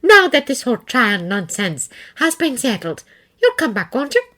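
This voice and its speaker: A stern but doting middle-aged wife fusses and scolds fondly, as a woman reader puts her on. Her voice is bright and clear, firm in tone. A storybook figure who mothers everyone around her. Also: a little high, very animated, normal speed, pitched above the performer's own voice.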